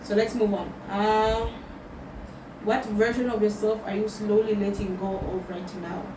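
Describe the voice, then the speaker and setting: A young woman from Tanzania, talking slowly and thoughtfully in a personal vlog and slipping Swahili words into her English. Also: mid-range voice, flat delivery. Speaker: vlogger. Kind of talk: reflective personal vlog, answering questions. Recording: roomy sound from a distant mic with loud background noise.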